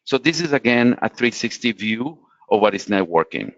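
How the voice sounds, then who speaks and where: A man's voice: lowish voice, expressive, normal speed, fairly bright tone, no echo, dull audio. Latin American man giving career advice. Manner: earnest, explanatory, frequent restarts. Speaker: webinar speaker.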